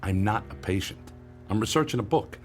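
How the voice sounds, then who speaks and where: An actor playing a cynical, combative writer with a sarcastic, wry manner in a radio drama. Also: male voice, fairly low pitch, expressive, a little fast, soft background music. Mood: scornful, exasperated. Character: cynical, combative male conspiracy-book writer.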